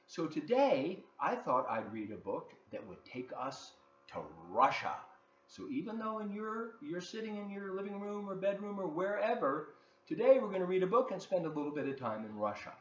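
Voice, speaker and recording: Animated man reading to kids, roomy sound. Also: high-pitched, moderate pace. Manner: expressive, addresses children directly with questions. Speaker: volunteer children's story reader. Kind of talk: read-aloud storytime for kids, with asides. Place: living room at home. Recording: dull, roomy home recording with faint noise.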